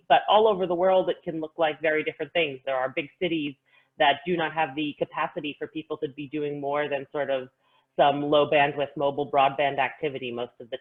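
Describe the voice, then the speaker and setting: A woman giving articulate, measured explanations as an expert panelist on a video call. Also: deep voice. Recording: narrow, phone-like call audio.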